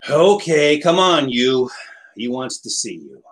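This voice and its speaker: A man's voice: medium pitch, animated, slow pace, fairly bright tone, a little hiss or hum. An actor playing a surly, threatening young henchman in a 1940s-style radio drama, terse and menacing. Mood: impatient.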